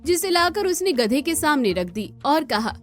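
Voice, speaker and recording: A woman's voice: high-pitched, very animated, normal speed, soft background music. A woman reading scripted narration for a cartoon moral story.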